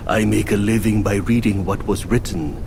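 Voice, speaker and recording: An old fortune-teller in a game cutscene, low and slow. He muses cryptically over clearly noisy surroundings. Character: musing old fortune-teller, sure of his readings. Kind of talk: dramatic game cutscene acting. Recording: loud ambient noise behind.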